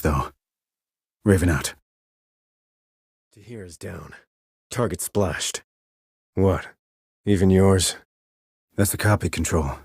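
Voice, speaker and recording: Deep, husky military sniper. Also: male voice, darkish tone, dry recording, studio quality, heavily acted. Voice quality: low, husky. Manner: clipped, controlled, businesslike. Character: terse, cool-headed male sniper operative, hardened mercenary. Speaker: video game voice actor. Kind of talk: military radio chatter, game dialogue.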